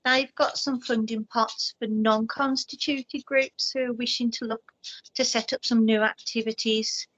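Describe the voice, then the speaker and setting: A fairly high-voiced woman speaks politely and helpfully in an online meeting, thanking people often. Her video call audio is dull and lo-fi. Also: steady tone, fairly bright tone. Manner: friendly, polite, quick thanks. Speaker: community grants officer.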